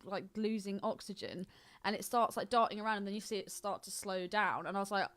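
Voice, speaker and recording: A woman's voice: mid-range voice, brisk, a bit bright. A woman co-hosting a podcast in casual film chat, with a blunt, sweary, opinionated way of talking. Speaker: podcast co-host.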